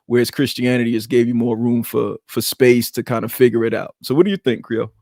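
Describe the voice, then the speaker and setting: A man co-hosting a podcast talks loosely and reflectively, circling back and restarting his thoughts. His voice sits at a middling pitch. The room sounds dry and close. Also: fairly even intonation, quick pace, a little mellow, no room sound. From Queens, New York. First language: Arabic. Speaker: podcast co-host. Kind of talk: casual podcast conversation.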